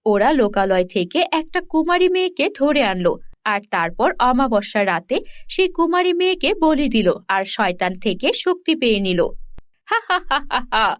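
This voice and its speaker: A woman narrating a cartoon fairy tale in steady storytelling style. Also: moderate pace, dry recording, clean studio sound. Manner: steady storytelling, lower than her character voices.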